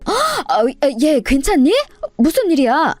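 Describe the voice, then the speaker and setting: A woman's voice: high-pitched, very animated, unhurried, darkish tone, no echo, faint music behind, pitched above the performer's own voice. A woman voicing a middle-aged female school office worker, motherly, chatty and exclaiming, in a narrated story. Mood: alarmed concern. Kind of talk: acted dialogue in a narrated story.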